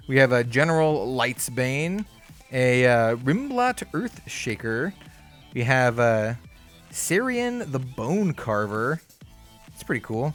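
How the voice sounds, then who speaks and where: Chatty man unboxing cards, slightly hoarse. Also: mid-range voice, fairly bright tone. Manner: easygoing, chatty, lots of fillers, upbeat reactions. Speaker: card game channel host. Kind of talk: casual unboxing commentary.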